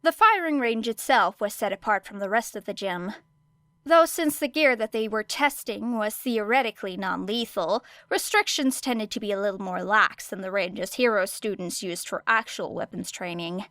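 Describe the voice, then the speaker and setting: A woman's voice: medium pitch, normal speed, a bit bright, no echo. A woman reading scripted fiction as an audiobook narrator.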